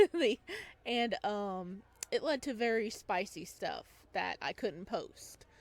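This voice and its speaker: A woman hosting a let's play stream at an unhurried pace. She is playful and giggly, reading story text aloud and adding her own commentary in a mid-pitched voice. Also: lively intonation, gravelly. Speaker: gaming streamer, VTuber.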